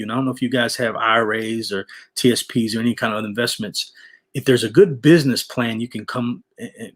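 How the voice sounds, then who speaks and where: A man's voice: mid-range voice, fairly bright tone. A man in his forties chatting casually with viewers, rambling, repeating himself and dropping "man" and "you know". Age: 40s. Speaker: vlogger reading viewer comments. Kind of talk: casual livestream chat.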